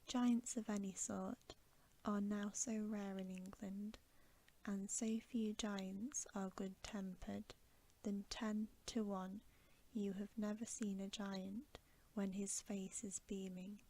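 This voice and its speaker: A woman reads a bedtime story as ASMR in a soft, breathy whisper, gentle and unhurried. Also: medium pitch, level tone, a little hiss or hum.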